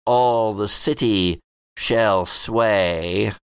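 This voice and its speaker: A man voicing a cryptic seer who speaks slowly in portentous, sing-song rhymes. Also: on the low side, clear, resonant tone, dry recording. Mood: ominous, prophetic. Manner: slow, portentous, sing-song rhyming delivery.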